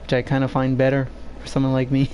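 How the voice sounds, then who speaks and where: A soft-spoken man with a low voice, chatting shyly and hesitantly with lots of fillers while streaming a game from home. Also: monotone, fast, slight background noise. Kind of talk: casual gameplay stream chat. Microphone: home desk microphone.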